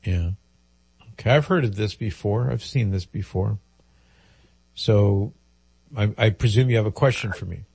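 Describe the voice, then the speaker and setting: Man, radio call-in host, slightly nasal from a cold, husky, low-pitched, dry studio sound. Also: a little slow, pauses often, a little mellow, no echo. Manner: conversational, explains at length with fillers. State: head cold, a little nasal.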